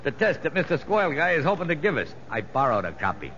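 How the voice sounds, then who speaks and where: An actor playing a sly, streetwise convict boss with slangy, mock-courteous talk in a 1950s radio drama. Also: male voice, fairly high pitch, sing-song, fast, fairly bright tone. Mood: mocking, smug. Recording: dull, noisy 1950s radio recording.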